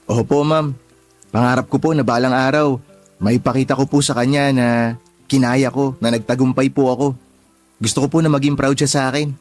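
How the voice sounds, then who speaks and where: An actor playing a polite, eager nineteen-year-old vendor trainee in a Filipino radio drama, deferential and earnest, with a Filipino accent. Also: male voice, medium pitch, steady tone, a little fast, natural voice. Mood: hopeful. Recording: music playing behind the voice.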